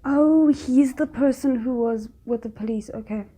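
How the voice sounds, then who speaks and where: A woman's voice: sits high, moderate pace, dark tone. A woman giving casual, reflective reaction commentary with an analytical way of explaining things. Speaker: reaction video host.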